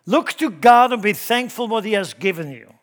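A middle-aged man with a Swiss German accent, preaching a sermon in church, emphatic and shifting from loud to hushed. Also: high voice, lively intonation, moderate pace. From Switzerland. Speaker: pastor.

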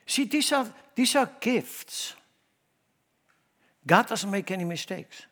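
A middle-aged man with a Swiss German accent, preaching a sermon in church, emphatic and shifting from loud to hushed. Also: high-pitched, lively intonation, takes it slowly. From Switzerland. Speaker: pastor.

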